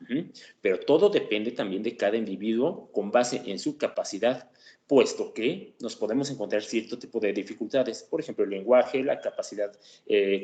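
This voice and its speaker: A man gives an explanatory lecture on education, his voice sitting on the low side. He keeps it conversational, dropping in filler sounds and little tag questions to check that listeners follow. The room around him is echoey and reverberant. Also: darkish tone, muffled recording. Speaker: teacher presenting a class topic.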